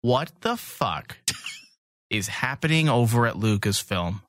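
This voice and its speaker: Male podcast host, mid-pitched and highly animated, blunt and emphatic, dry close sound. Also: takes it slowly, dry recording. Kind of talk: casual opinionated podcast talk.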